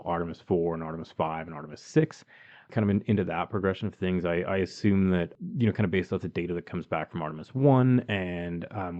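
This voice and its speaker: A man's voice: low voice, muffled recording. A man with a Canadian accent co-hosting a podcast, explaining things in a chatty way with many ums and you-knows. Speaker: podcast co-host. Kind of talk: casual podcast chat.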